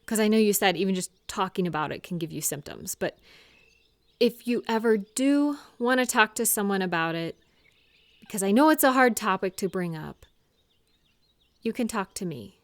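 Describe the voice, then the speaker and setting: A woman playing a caring, slightly awkward friend in a comfort audio roleplay, speaking one-on-one in a gentle, earnest, hesitant and reassuring way. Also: medium pitch, expressive, normal speed, dry recording, lightly acted. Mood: shy, supportive.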